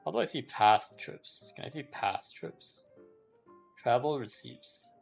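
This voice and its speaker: A man's voice: medium pitch, takes it slowly, gravelly, breathy edge, soft background music. A man chatting casually on a livestream, thinking aloud in a hesitant, rambling way and often repeating himself. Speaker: live streamer.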